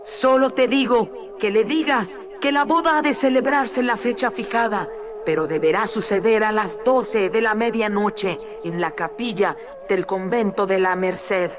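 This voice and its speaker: Solemn phantom daughter, high pitch-shifted voice, sweet yet commanding, formal horror radio-play delivery. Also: male voice, unhurried, crowd noise. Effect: pitch-shifted processing. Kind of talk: horror radio-drama acting.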